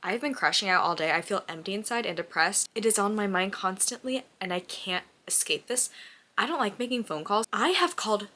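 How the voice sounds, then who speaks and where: A woman's voice: mid-range voice, expressive, fairly quick, pushed and bright. A woman book vlogger chatting casually and effusively in an informal, emphatic way, with "like" sprinkled through her sentences. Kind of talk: casual chat, emotional book reaction vlog.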